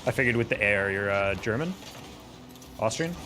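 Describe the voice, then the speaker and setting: A man's voice: fairly low pitch, lively intonation, moderate pace, bright, forceful tone. A man chatting casually with his viewers while playing a game on a live stream, exasperated and laughing often. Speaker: streamer and caster.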